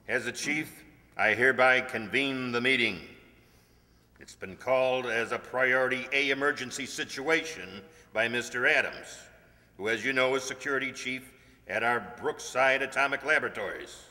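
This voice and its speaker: An actor playing a pompous, commanding Pentagon chief who speaks formally in a radio play. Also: male voice, medium pitch, moderate pace, pushed and bright. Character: pompous, official male Pentagon chief chairing a meeting. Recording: echoey hall with long reverb.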